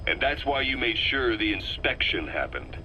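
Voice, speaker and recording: A relentless military interrogator in a video game drama. His voice is fairly low and sharp, pressing each accusation with stern emphasis. Faint noise sits behind him. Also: very animated, moderate pace, full character voice, rough recording. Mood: cold, accusing.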